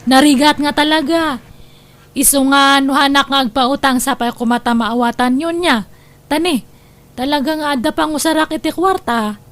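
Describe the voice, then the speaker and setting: Woman playing a high-voiced, self-assured young businesswoman. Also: moderate pace, a bit bright. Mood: firm, self-assured. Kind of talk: Ilocano comedy drama acting.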